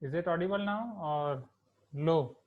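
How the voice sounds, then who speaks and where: A man's voice: a little high, unhurried. Man teaching maths online, echoey tinny audio. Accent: Indian. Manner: code-switching, checks in with students often.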